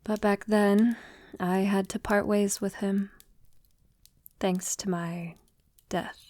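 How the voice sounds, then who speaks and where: A woman playing a centuries-old vampire lover in an intimate audio roleplay, tender, wistful and confiding, softly spoken with lapses into whispering. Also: mid-range voice, steady tone, close to an everyday voice. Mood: fond, wistful, then sorrowful. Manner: soft, unhurried, breathy lapses into whispering.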